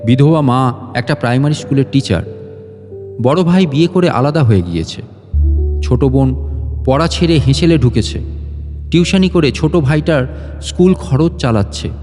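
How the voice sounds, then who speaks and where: A man's voice: medium pitch, animated, moderate pace, long pauses, a little mellow, long reverb tail, soft background music, close to an everyday voice. A man voicing a sinister inner serpent in an audio story, coaxing and insistent as it tempts. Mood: coaxing, persuasive.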